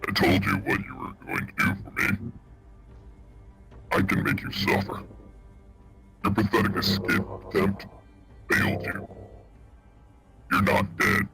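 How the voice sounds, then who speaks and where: A man playing a sadistic, threatening tormentor with a gravelly, raspy voice in a horror script reading. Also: on the low side, steady tone, faint music behind, exaggerated voice. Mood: menacing. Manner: slow, threatening, forceful. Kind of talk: dramatic horror script table read.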